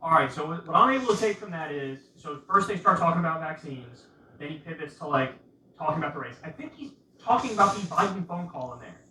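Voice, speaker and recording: A man's voice: a little high, animated, fast talker, sharp tone. A man giving casual political commentary to viewers, insistently repeating rhetorical questions. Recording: roomy, distant sound with faint noise.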